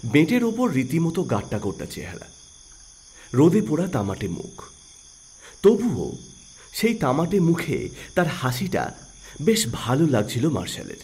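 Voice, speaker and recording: A man's voice: on the high side, huge pitch swings, a little slow, pauses often, a little mellow, husky. A man narrating a scripted audio story in a dramatic storytelling style.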